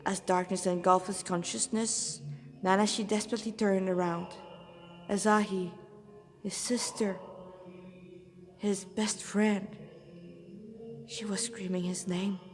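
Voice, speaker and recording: A woman's voice: medium pitch, flat delivery, unhurried, long pauses, long reverb tail, faint music behind. A woman with a non-native English accent, an amateur narrator reading a story dramatically and sometimes stumbling over words. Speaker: amateur fan fiction narrator.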